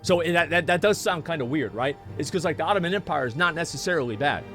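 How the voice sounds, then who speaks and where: A man streaming live talks in a loose, rambling way, swearing freely and restarting his sentences as he goes. His voice sits somewhat high for a man, with faint music playing underneath. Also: animated, fast, a bit bright. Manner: rambling and profane, many restarts. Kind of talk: casual live-stream chat while gaming.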